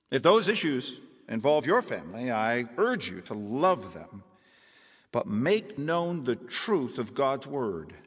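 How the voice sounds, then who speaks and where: A man's voice: mid-range voice, in a large hall. A man narrating his testimony in an earnest, preaching manner, quoting scripture.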